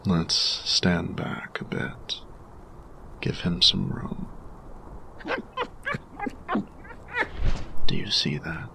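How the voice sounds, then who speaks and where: A man playing a grumpy, reserved steampunk artificer in an audio roleplay, speaking formally and deliberately in a gravelly, breathy murmur. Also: deep voice, steady tone, whispered, exaggerated voice. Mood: focused, gentle. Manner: formal, measured, deliberate phrasing. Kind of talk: scripted audio roleplay addressed to the listener.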